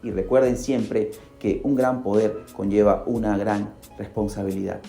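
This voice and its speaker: A man's voice: on the low side, flat delivery, unhurried, creaky, dark tone, roomy sound, soft background music. A Peruvian man hosting a podcast, narrating from a script with casual, conversational asides. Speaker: podcast host.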